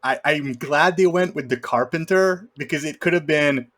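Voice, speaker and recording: A man's voice: on the high side, moderate pace, fairly bright tone. A man in casual film discussion, speaking in a wry, opinionated way. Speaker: podcast guest co-host.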